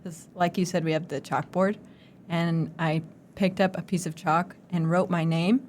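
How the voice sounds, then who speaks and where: A young woman from the United States hosts a relaxed conversation. Her voice sits a little low, and she tells stories with lively energy, scattering "like" through her sentences. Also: moderate pace, slight background noise. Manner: lively storyteller, many "like" fillers. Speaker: podcast host. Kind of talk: casual chat.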